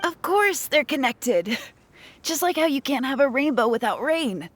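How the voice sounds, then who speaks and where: A woman's voice: sits high, lively intonation, measured pace. A woman voicing a weary, matter-of-fact creature bartender in a fantasy game, plain-spoken and lightly dramatic. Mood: amused, instructive. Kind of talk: fantasy game character acting.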